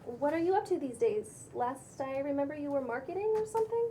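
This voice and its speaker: A woman's voice: high-pitched, expressive, fairly quick, mellow, slight background noise. A woman playing a self-assured, breezy young spiritual influencer in a table read, casual and a little preachy. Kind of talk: cold table read of a pilot script.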